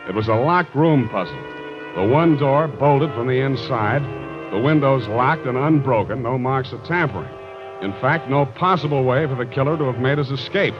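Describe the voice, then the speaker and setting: An actor playing a sardonic, wisecracking private detective in a 1940s-style radio drama, with narration and banter. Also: male voice, mid-range voice, expressive, moderate pace, close to an everyday voice. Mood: sardonic narrating. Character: wry hard-boiled male private detective narrating his case. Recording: dull vintage radio recording with music.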